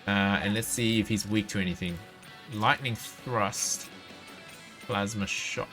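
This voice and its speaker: A man's voice: on the low side, fairly even intonation, slow pace. A man doing casual, playful live video game commentary, talking to himself and his viewers. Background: video game music.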